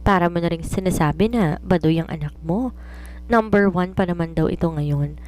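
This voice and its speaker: A woman's voice: lowish voice, normal speed, a little mellow, close to an everyday voice. A woman voicing an independent, teasing young daughter who writes romances, playful and reasoning in mixed Tagalog and English.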